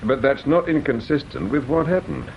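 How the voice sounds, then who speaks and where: An actor playing a measured, wryly sceptical police inspector in a radio detective drama. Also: male voice, mid-range voice, lightly acted. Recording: dull, slightly noisy radio recording.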